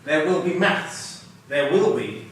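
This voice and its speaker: Male stage performer, medium pitch, lively intonation, slow, scripted comic lines. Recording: echoey room, distant mic, noise.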